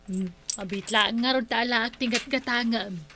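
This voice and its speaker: Comic drama: woman playing demanding village lender, high bright voice, curt delivery, Ilocano accent. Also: moderate pace, slight background noise. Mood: grumbling. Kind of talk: comedic radio-style drama acting.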